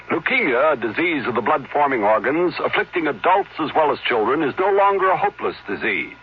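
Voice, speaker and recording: A man reading a public service appeal in a solemn, measured way with a husky voice. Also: mid-range voice. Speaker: announcer of a charity appeal. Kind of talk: scripted public service announcement. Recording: muffled old radio broadcast.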